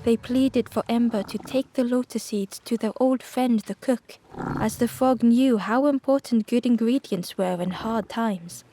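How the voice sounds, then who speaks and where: A woman's voice: on the high side, measured pace, mellow. A woman giving scripted, storybook-style narration for a video game over background music.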